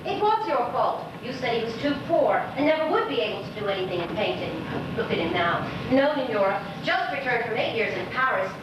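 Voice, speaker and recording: A woman's voice: fairly high pitch, sing-song, fairly quick, hardly any pauses. An actress playing a woman's crude, passionate inner self, declaiming emotionally in a theatrical style. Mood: bitter, resentful. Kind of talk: theatrical stage acting. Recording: very noisy, echoey distant stage recording.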